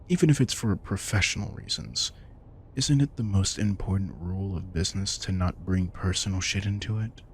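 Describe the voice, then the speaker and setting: A man's voice: low-pitched, vocal fry, darkish tone, a little hiss or hum, heavily acted. A man playing a centuries-old vampire king, wry and protective, talking casually and intimately to the listener in an audio roleplay. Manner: casual, reflective, swearing, addresses listener directly. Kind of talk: intimate scripted audio roleplay, conversational monologue.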